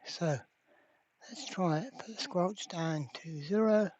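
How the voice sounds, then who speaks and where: A repair channel host giving a casual hands-on demonstration at a workbench, halting and thinking aloud while working. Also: mid-range voice, a little mellow, slight background noise. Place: indoor workbench.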